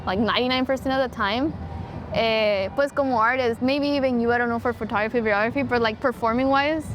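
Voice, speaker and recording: A woman's voice: a little high, fast, lo-fi sound, background noise. A young woman, a mariachi singer talking casually in an interview and switching between English and Spanish mid-sentence.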